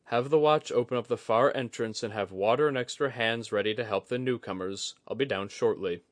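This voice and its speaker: A man's voice: mid-range voice, no echo, natural voice. Man as a composed young commander, dry recording. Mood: brisk. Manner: measured, well-spoken, dryly amused. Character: composed, shrewd young male clan commander, courteous and dry. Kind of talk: audiobook fantasy character acting.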